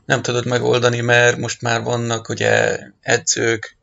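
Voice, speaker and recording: Very creaky man, dull recording. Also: mid-range voice, completely flat delivery, fairly bright tone. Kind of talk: casual podcast discussion. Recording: dull lo-fi sound.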